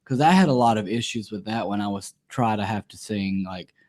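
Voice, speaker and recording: A man with a somewhat low voice chats casually on a podcast. He tells stories with energy and laughs his way through them. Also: brisk. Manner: animated, laughs while telling stories. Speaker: podcast co-host. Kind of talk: casual chat, storytelling.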